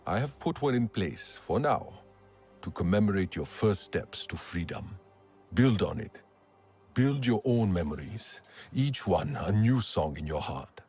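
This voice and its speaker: Stern alien warrior in a video game, really low raspy voice, grave, bit of music under it. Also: male voice, lively intonation, darkish tone, exaggerated voice. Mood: solemn, encouraging. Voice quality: husky. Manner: grave, measured, formal. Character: battle-hardened alien clan warrior, stern, proud mentor. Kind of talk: dramatic game cutscene acting.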